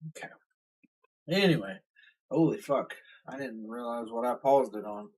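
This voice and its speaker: A man's voice: mid-range voice, moderate pace, a little mellow, breathy edge. A young man with a Southern US accent, hosting a reaction video and ranting in a blunt, rambling, profane way. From Southern United States. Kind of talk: casual ranting commentary.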